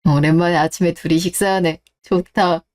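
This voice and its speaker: A woman's voice: on the low side, fairly even intonation, normal speed, a little mellow, pitched below the performer's own voice, natural voice. A woman voicing an easygoing, self-reliant husband and son in a scripted radio drama, casual and mildly defensive.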